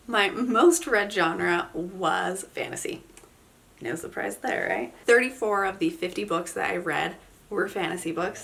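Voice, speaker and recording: A woman's voice: mid-range voice, a bit bright. A woman giving a casual, chatty book review, enthusiastic and informal, sounding nasal from a head cold.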